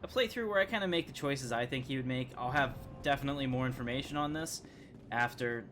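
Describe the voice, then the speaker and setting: A man's voice: medium pitch, fast, fairly bright tone, slight background noise. A man streaming a video game with casual, joking, rambling commentary.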